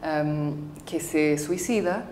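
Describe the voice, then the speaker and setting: Low-voiced woman filmmaker in a roomy studio. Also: a little mellow, a little hiss or hum. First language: English. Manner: thoughtful, halting, with frequent fillers and restarts. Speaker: filmmaker interviewee. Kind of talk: casual interview answers.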